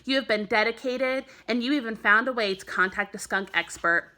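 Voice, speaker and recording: A warm, encouraging mother in a children's storybook, read by a woman. Her voice is bright and a little high. She speaks in a measured, affectionate way. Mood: gentle, serious.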